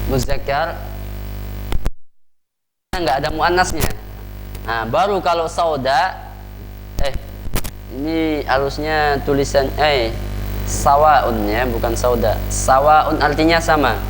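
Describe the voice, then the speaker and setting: A man's voice: mid-range voice, unhurried, long pauses, faint music behind. A man with an Indonesian accent teaching an Arabic grammar lesson, quizzing the class on words and tagging his sentences with "ya". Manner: drills vocabulary, asks the class questions, many "ya" tags. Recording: long hall reverb, faint mains hum.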